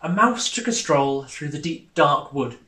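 A man's voice: a little high, lively intonation, unhurried, pushed and bright, roomy sound, a little hiss or hum. A young man reading a children's story aloud expressively. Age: young adult. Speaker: storybook reader. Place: small indoor room, on a sofa.

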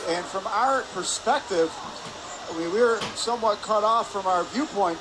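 High-voiced male hockey colour commentator. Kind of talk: live sports analysis. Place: indoor ice hockey arena. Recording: clear rink noise behind. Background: arena ambience and noise.

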